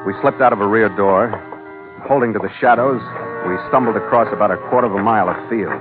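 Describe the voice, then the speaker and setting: A tinny vintage radio recording with loud music features a man as a tough American sea captain. His low voice is terse, wary and sardonic, in hardboiled 1940s radio style. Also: fast, close to an everyday voice. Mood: brooding narration. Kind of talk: 1940s radio acting, hardboiled first-person narration.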